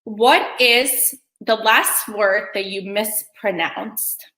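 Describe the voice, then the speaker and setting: A woman from Kosovo, Albanian her first language, hosts a video call in a bright, forward voice. She talks chattily, full of ums and restarts. The sound bounces around an echoey room. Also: medium pitch, drawn-out words. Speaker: event host. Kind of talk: casual online meeting chat.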